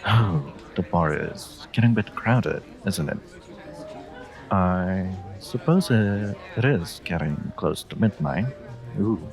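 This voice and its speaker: A man playing a suave, teasing stranger who flirts in an intimate audio roleplay, pausing and hesitating playfully as he talks. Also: low voice, vocal fry, heavily acted. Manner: teasing, hesitant pauses, frequent soft chuckles.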